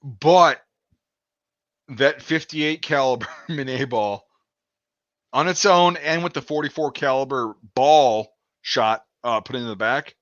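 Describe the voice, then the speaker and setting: Male host, casual stream chat, bright tense tone, muffled lo-fi audio, swears freely. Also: mid-range voice, slow, no echo. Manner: profane, rambling, restarts often. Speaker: show host.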